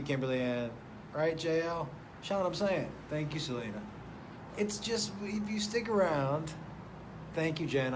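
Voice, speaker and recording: A man hosting a casual live stream, chatting loosely and profanely, reading out viewer names and rambling. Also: a little high. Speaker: host of a live stream show. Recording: noticeable background noise.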